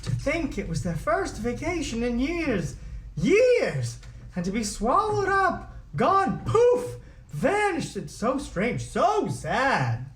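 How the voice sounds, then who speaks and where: A woman's voice: high-pitched, wildly swooping pitch, slow pace, roomy sound, heavily acted. A woman playing a scatty, rambling, jokey male lawyer with sing-song delivery in a comedy script reading. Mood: gushing. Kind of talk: script table read of a comedy.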